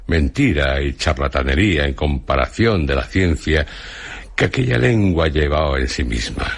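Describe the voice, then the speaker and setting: An ancient hermit sage in a radio play. His voice is extremely low and husky, with a Castilian Spanish accent. He declaims slowly and solemnly, like one who holds grave secrets. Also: exaggerated voice. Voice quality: deep, husky. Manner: slow, grandiloquent, declamatory. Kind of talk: Spanish radio theatre acting.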